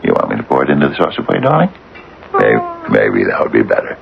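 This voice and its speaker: A very low, gravelly older man in a vintage radio drama. He is fond, fussy and eccentric, with a lively swooping delivery. The recording is dull with some hiss. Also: fast talker, a crowd in the background, heavily acted. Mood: doting. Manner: fussy, hesitant, fond murmuring. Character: doting, eccentric older father obsessed with his cat. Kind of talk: old-time radio drama acting and narration.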